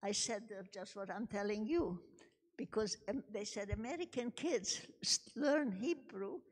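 Slow-spoken older Hungarian-native woman. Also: mid-range voice, lively intonation. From Czechoslovakia. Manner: slow, gentle, halting speech with restarts and soft laughs. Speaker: guest speaker. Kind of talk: personal testimony talk. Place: large synagogue sanctuary. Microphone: handheld microphone. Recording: long reverb of a large hall.

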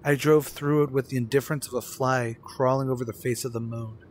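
A man's voice: medium pitch, steady tone, a little fast, slight background noise, close to an everyday voice. An actor playing a haunted, unravelling young man in an old-time radio suspense drama, with a brooding, dramatic delivery. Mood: haunted, dread.

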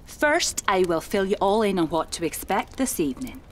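Lively, genteel seasoned medium played by a woman. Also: mid-range voice, natural voice. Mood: earnest, instructive. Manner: genteel, measured, dramatic storytelling. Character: seasoned, genteel female spiritualist medium, confident and theatrical. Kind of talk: radio play acting.

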